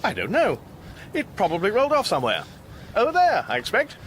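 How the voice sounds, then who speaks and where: A man's voice: high voice, huge pitch swings, brisk, fairly bright tone, a little hiss or hum, exaggerated voice. An actor playing a bewildered, fussy English everyman in a radio comedy, flustered and pedantic, with an English accent. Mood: puzzled. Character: bewildered, fussy, indignant English everyman stranded among aliens. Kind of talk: radio comedy drama acting.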